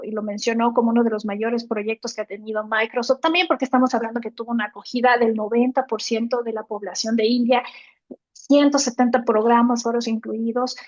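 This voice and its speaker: A woman with a slightly high voice co-hosts a tech podcast, walking listeners through her points step by step and tossing in quick tag questions to check they follow. The sound is dry and a bit dull, with no room around it. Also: no echo. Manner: explains step by step, often adds "¿no?" tag questions. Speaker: podcast co-host. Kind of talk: explanatory podcast talk on tech.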